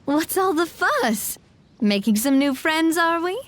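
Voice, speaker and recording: A gracious young company president for a video game. Her voice is high and melodic, her manner polite, composed and lightly teasing. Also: animated, takes it slowly, a little hiss or hum, heavily acted. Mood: amused. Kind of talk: animated video game acting.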